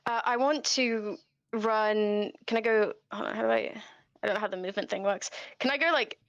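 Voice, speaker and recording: A woman's voice: medium pitch, moderate pace, no echo, dull audio. A woman playing a tabletop game, chatting casually and asking tentative questions like a newcomer. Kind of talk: casual chat.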